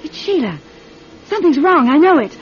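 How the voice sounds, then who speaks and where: An actress playing an anxious, submissive sister in a radio drama, hesitant and pleading. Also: female voice, sits high, very animated, moderate pace. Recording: dull lo-fi radio recording.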